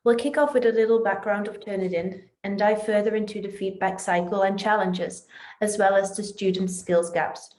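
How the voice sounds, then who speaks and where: A woman's voice: medium pitch, fairly even intonation, moderate pace, darkish tone. A woman from the Netherlands, a native Dutch speaker with a Dutch accent, giving a prepared explanation in an online presentation as a company manager. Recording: roomy, echoing voice.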